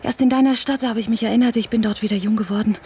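A woman's voice: on the high side, fairly even intonation, racing, dark tone, slight background noise, close to an everyday voice. An actress in a German radio drama playing a cunning, vengeful woman who talks in a taunting, intimate, theatrical way. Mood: scheming, bitter. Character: vengeful cunning woman restorer, playful and obsessive.

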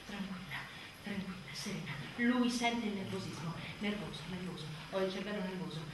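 A woman's voice: medium pitch, normal speed, close to an everyday voice. An actress playing an exhausted young mother coming apart after childbirth, in an intense radio theatre monologue full of obsessive repetition and swings between murmurs and outbursts. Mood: numb, obsessive. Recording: very echoey, distant, noisy stage recording.

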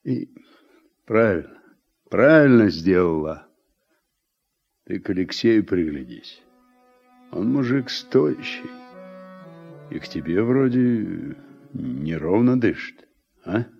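An actor playing a weary, regretful middle-aged war veteran and farm worker in a radio drama, reflective and plain-spoken. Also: male voice, lowish voice, lively intonation, slow, vocal fry, long pauses, exaggerated voice. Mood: firm. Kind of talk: Soviet radio drama acting. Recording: muffled old radio recording, music behind.

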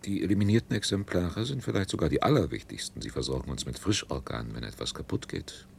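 An actor playing a smug, pompous aging king in a science fiction radio drama, savouring his words in a gravelly voice. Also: deep voice, fast talker, slight background noise. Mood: pondering. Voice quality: gravelly, creaky.

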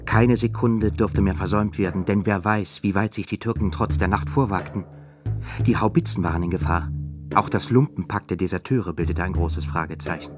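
Male narrator, very deep, solemn, music underneath. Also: normal speed. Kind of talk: scripted dramatic narration.

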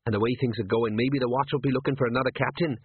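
A man's voice: medium pitch, steady tone, rapid-fire, no room sound. A man voicing an aging, worried watch sergeant with rambling, folksy working-class talk, on a dry, tinny recording. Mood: scheming. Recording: dry husky phone-like audio.

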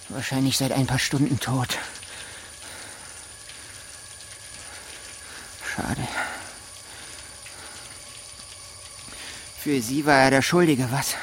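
An actor playing a creepy, self-justifying gamekeeper and killer in a radio drama, halting and lingering on words. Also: male voice, medium pitch, a little hiss or hum, lightly acted. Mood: matter-of-fact.

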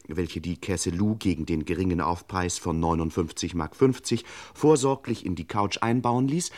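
A man's voice: fairly low pitch, a little mellow. A man playing a pompous, mock-solemn narrator of a radio crime parody, speaking in ornate, courteous phrases with dry irony. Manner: ornate, mock-formal, addresses listeners with exaggerated courtesy. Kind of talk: scripted radio crime serial narration, ironic.

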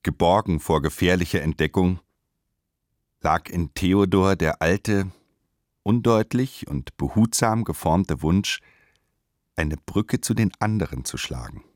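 A man narrating a radio play in a measured, deliberate way, reading literary prose. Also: sits low, no echo, clean studio sound.

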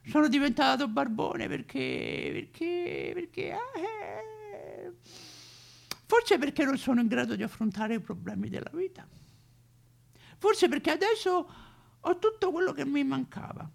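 A man's voice: very high-pitched, wildly swooping pitch, vocal fry, exaggerated voice. A male actor playing a lonely, rambling drunk tramp with a Roman accent in a theatrical comic monologue. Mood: plaintive. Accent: Roman Italian. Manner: rambling, halting, outbursts of swearing and laughter. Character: lonely toothless old male drunk vagrant, rambling and wistful.

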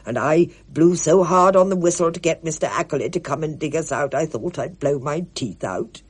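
A woman's voice: deep voice, animated, moderate pace, breathy edge. A woman voicing a plump, jovial older woman who talks in a homely, motherly way.

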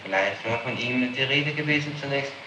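A man with a mid-pitched voice questions someone formally as a prosecutor. He is halting but insistent, and the old tape puts clear noise around him in an echoey room. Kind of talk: formal interrogation. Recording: noisy, echoey archival interrogation tape.

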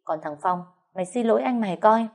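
Woman reading a shrill, scolding mother-in-law. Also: a little fast, vocal fry, natural voice.

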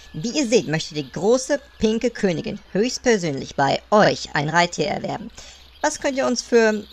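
A woman's voice: mid-range voice, big pitch swings, a little slow. A woman voicing a puny male gnome advisor in a comic audio play, fawning, whiny and pompously hesitant.

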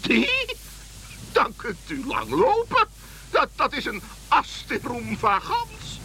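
A male actor playing a dignified, courteous, pedantic king in a comic radio play, deliberate and given to puzzled asides. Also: very high voice, huge pitch swings, vocal fry, bright, forceful tone, a little hiss or hum, exaggerated voice. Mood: pedantic pride.

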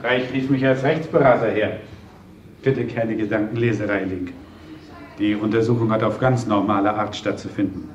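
A man's voice: lowish voice, steady tone, moderate pace, natural voice. An actor in a German radio play, playing a firm, formal lawyer who guards his client. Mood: firm, official. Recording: dull, echoey old radio recording.

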